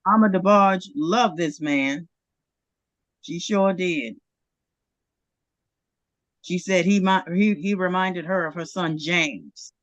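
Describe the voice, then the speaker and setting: A woman's voice: fairly low pitch, slow, long pauses, no echo, muffled recording. A woman who is a guest in a casual chat, answering briefly and agreeing along the way.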